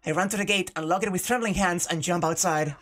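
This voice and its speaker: Lower-pitched woman reading a game aloud. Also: fairly bright tone. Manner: informal, sweary, jokey asides. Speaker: host of a game playthrough. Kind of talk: reading a visual novel aloud with casual commentary. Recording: music playing behind the voice. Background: game music.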